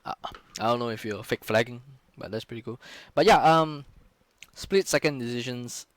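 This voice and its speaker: A man's voice: medium pitch, normal speed, dry recording. A young man, casually talking through his own game footage on a live stream, loose and full of fillers and curses. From Singapore. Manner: casual, frequent swearing and fillers.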